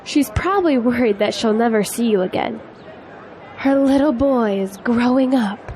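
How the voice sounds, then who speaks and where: A woman's voice: fairly high pitch, normal speed, darkish tone, natural voice. An actress playing a spirited young female graduate in an audio drama, teasing yet earnest. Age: young adult. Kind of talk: scripted audio drama dialogue. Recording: faint noise, outdoor crowd ambience.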